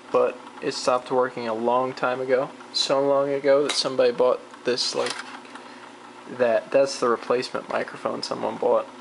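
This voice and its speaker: A person chatting casually and off the cuff while demonstrating a vintage machine, rambling and muttering to themselves as they work. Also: medium pitch. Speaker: hobbyist demonstrating vintage tape recorder. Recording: clearly noisy home video, machine hum.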